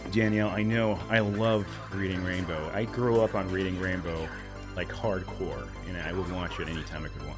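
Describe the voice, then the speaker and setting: A man hosting a casual livestream, slightly hoarse, rambling and warmly thanking viewers for tips. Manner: rambling, thanks tippers, breaks into silly high voices. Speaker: livestream host.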